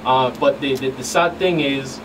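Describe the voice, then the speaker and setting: A middle-aged man from the U.S. Virgin Islands speaking as a law enforcement guest in a halting, deliberate way. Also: medium pitch, fairly even intonation. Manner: halting, deliberate, hesitant phrasing. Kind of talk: talk show interview. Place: small radio studio. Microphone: studio boom microphones in view. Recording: distant mic, clearly noisy room hum.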